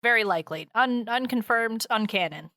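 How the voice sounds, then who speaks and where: A woman's voice: fairly high pitch, moderate pace, pushed and bright, dry recording. Woman chatting casually, dry close sound. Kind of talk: casual chat.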